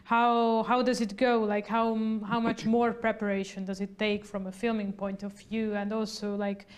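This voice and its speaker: A young woman interviewing a guest in a small indoor studio on a lapel mic, chatty with many fillers, trailing off softly at the ends of her lines. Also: medium pitch, little pitch movement, moderate pace, smooth. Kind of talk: festival interview.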